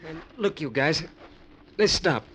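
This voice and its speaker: A weary, grumbling treasure hunter, with a bright, edgy voice pitched a little high. His talk is blunt and complaining, in the style of 1940s radio acting. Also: animated, measured pace, slight background noise, rough recording.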